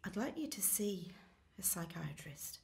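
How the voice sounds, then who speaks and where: A woman's voice: on the low side, big pitch swings, a little slow, vocal fry, a little mellow, heavily acted. A woman playing an exasperated, worried wife, reproachful and insistent, in a radio comedy. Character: exasperated, worried wife delivering firm ultimatums.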